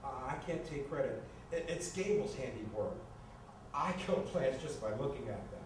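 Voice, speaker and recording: A man's voice: medium pitch, lively intonation, normal speed, natural voice. A man playing a flustered, exasperated adult son in a staged screenplay reading, stammering, defensive and sarcastic. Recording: echoey room, distant mic, noisy.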